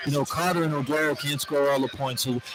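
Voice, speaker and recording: A man's voice: on the high side, steady tone, fast. A man working as a color commentator, giving informal, colloquial basketball analysis in a conversational way. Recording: very noisy live arena broadcast.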